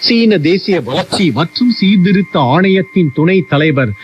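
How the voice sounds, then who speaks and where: A man reading scripted news in a formal, measured manner over a shortwave radio broadcast. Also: sits high, expressive. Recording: dull, hissy shortwave radio with hum.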